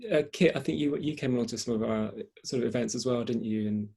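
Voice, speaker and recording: A man's voice: medium pitch, fast, gravelly, dark tone, slightly rough. A man hosting an informal online panel, speaking in hesitant, stop-start phrases. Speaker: host of online writers' event.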